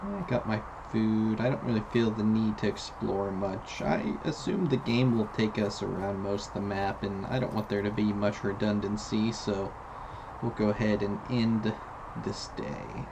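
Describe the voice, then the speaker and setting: A man's voice: fairly low pitch, normal speed. A laid-back man giving matter-of-fact let's play commentary as the host of a gaming video. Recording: dull, lo-fi mic with clear noise.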